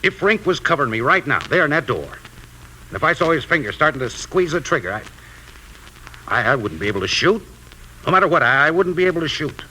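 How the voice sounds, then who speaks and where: Frustrated American Western lawman, folksy, animated delivery, slightly noisy old radio sound. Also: a little high, fast. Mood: frustrated, anguished. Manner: folksy, emphatic. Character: troubled, frustrated Western sheriff and father. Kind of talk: 1950s radio Western acting. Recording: noisy 1950s radio broadcast.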